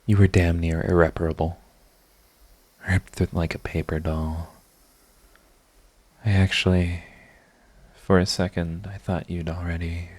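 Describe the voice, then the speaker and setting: A man's voice: low-pitched, level tone, measured pace, pauses often, a little mellow, no room sound. A man playing a proud villain who is secretly devoted to his rival, in an intimate, emotional audio roleplay. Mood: shaken, grave. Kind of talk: dramatic ASMR audio roleplay.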